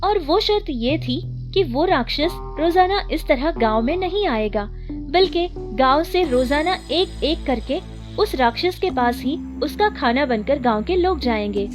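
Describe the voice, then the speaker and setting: High-voiced woman narrating a cartoon story. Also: a little slow, soft background music. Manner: steady storytelling.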